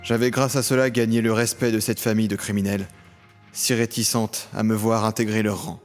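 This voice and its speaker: A man's voice: fairly low pitch, flat delivery, fairly quick, faint music behind, close to an everyday voice. An actor playing a tormented criminal who tells his own story in a solemn, literary way in an audio drama. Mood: brooding. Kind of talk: scripted audio drama narration and dialogue.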